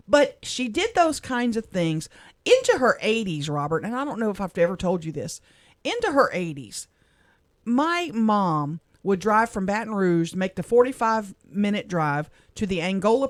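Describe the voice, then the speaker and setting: A middle-aged woman from Louisiana, a radio co-host, speaking softly and with emotion as she reads a personal letter on air in a studio. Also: medium pitch, no echo. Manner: heartfelt, soft-spoken, emotional.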